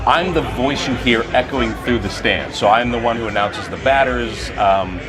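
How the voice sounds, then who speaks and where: A man's voice: medium pitch, moderate pace. A middle-aged man, a stadium announcer, giving casual answers in an interview. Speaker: stadium public address announcer being interviewed. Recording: music playing behind the voice.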